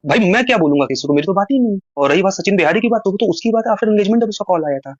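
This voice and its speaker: Fast-talking man lecturing on a dull, dry phone call recording. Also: high voice, lively intonation, dry recording. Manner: rapid, pleading, lecturing. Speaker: caller.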